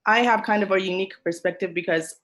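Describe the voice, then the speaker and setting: A woman's voice: mid-range voice, fast talker, a bit bright. Emphatic woman. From Kenya. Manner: emotional, emphatic. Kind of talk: earnest discussion.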